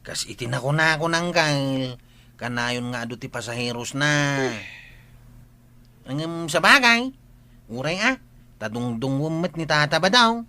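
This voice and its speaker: A man's voice: fairly high pitch, lively intonation, unhurried, pauses often, sharp tone, heavily acted. A man with an Ilocano accent acting a cheeky, needling young villager in a comedy radio drama, teasing as he talks.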